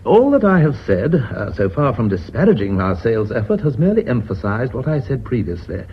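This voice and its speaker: An actor playing a genial, pompous company chairman with a British accent, formal and measured, in a radio drama. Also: mid-range voice, very animated, close to an everyday voice. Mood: genial, praising. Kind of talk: BBC radio drama acting. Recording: noisy, dull old radio recording.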